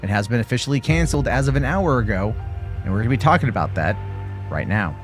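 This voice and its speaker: A man's voice: medium pitch, lively intonation, fast talker. A man doing live-stream news commentary, emphatic and repeating phrases for effect. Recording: loud music under the voice. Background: loud music.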